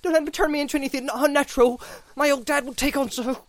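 A man's voice: extremely high pitch, steady tone, quick pace, a bit bright, no room sound, voice pushed up. A man reading aloud voices a timid, stammering country gardener who speaks in a rustic, pleading way. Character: timid rustic male gardener, anxious and eager.

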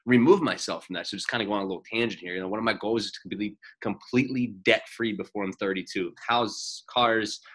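A young man in his late twenties talks at a quick clip, his low voice cutting through with a bright, sharp edge. He is blunt and emphatic, swearing freely and rambling through his thoughts in a casual chat. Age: 20s.

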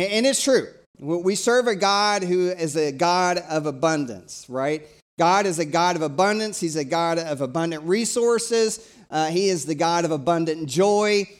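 A middle-aged pastor from East Tennessee, his voice sitting a little higher than most men's. He preaches in a chatty, energetic style and keeps calling on the congregation to answer back. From East Tennessee, USA. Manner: conversational, energetic preaching that invites call-and-response. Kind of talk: live church sermon.

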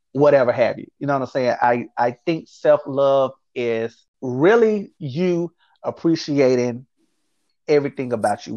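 A man speaking as a guest in an interview, calm and reflective, who often repeats his phrases and checks in with his listener. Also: medium pitch, dry recording, lo-fi sound. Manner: reflective, speaks in repeated phrases, often says "you know what I'm saying". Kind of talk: candid conversational interview.

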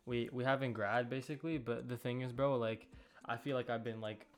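A man's voice: medium pitch, steady tone, a little fast. A man in his late teens from Canada hosting a casual podcast, talking easily with repeated words and slang. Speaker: podcast host. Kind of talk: casual chat.

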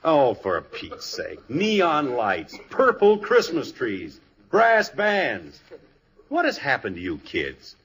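A man's voice: mid-range voice, huge pitch swings, slow, fairly bright tone, slight background noise, exaggerated voice. An American actor playing an exasperated, indignant middle-aged father in a 1950s radio sitcom, blustery, sarcastic and emphatic. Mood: exasperated, preachy.

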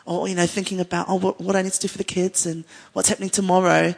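A woman's voice: fairly low pitch, little pitch movement, quick pace, gravelly. New Zealand woman in a reverberant hall. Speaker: worship leader, guest speaker. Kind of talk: unscripted panel conversation about faith. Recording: echoey hall with long reverb.

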